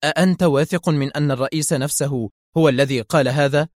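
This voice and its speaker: Man playing an excitable, forceful male general. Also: mid-range voice, fairly quick, no echo, studio quality, lightly acted. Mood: suspicious, eager. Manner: emphatic, urgent, argumentative. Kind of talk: dramatised audio story dialogue.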